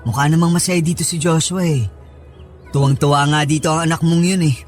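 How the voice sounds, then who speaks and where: A man's voice: fairly high pitch, fairly even intonation, fairly quick, soft background music, lightly acted. A man playing an affectionate young husband in a radio drama, talking in a casual, coaxing, reassuring way.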